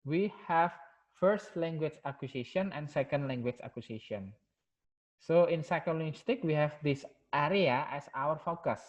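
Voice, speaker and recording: A male university lecturer with an Indonesian accent reviewing the course in an online class, halting and full of fillers and repeated "yeah". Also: on the high side, muffled recording.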